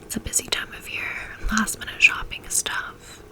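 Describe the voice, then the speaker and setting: A woman reading a first-person novel aloud in a soft, intimate whisper, in a steady ASMR style. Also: moderate pace. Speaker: audiobook reader.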